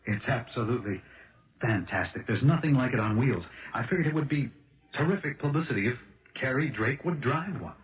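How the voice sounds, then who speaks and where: A man acting in a 1970s radio drama as an impulsive, fast-talking American car salesman, earnest and halting as he protests his innocence. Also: medium pitch, expressive, close to an everyday voice. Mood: rueful, eager. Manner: halting, earnest, restarts phrases. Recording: dull lo-fi old radio broadcast.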